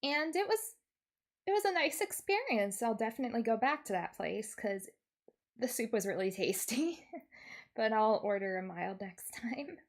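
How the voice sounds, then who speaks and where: A woman livestreamer chatting casually and telling stories in an animated, giggly, expressive way. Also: a little high, normal speed. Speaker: streamer, VTuber host.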